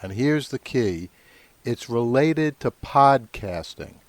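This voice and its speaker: A man's voice: mid-range voice, animated, takes it slowly, no room sound. A middle-aged man talking solo as the host of a podcast.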